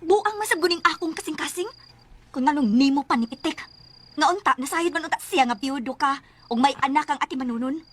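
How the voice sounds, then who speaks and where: Bitter Bisaya wife, high voice, rapid scornful delivery, radio drama, faint noise. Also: female voice, fairly bright tone. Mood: bitter, regretful. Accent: Filipino Bisaya. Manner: scornful, complaining. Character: weary, bitter, resentful wife rejecting her stepson. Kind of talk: Filipino radio drama acting.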